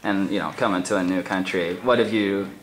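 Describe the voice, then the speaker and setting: A man interviewing college soccer players, asking measured, thoughtful questions. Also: sits low, steady tone, slight background noise, rough recording.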